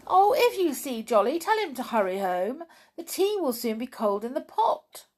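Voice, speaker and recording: A woman acts out a sensitive little female doll from a children's storybook. The voice is high and very swoopy, tearful and prone to stammer or turn cross when upset. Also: expressive, slow, gravelly, exaggerated voice. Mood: worried.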